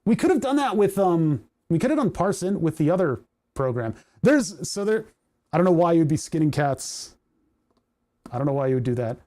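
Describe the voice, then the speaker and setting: Livestream host, male, fairly high voice, mellow tone, rambling and chatty, a bit hoarse. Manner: rambling, chatty, laughs often, reads chat aloud. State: voice starting to go hoarse. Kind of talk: casual livestream chat while coding.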